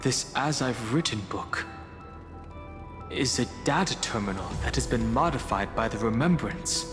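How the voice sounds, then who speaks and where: A man playing a calm, analytical, guarded young man in an anime video game, speaking in a measured, serious, protective way. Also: mid-range voice, close to an everyday voice. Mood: pensive, analytical. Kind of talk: English-dubbed anime video game acting. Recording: long hall reverb under clear music.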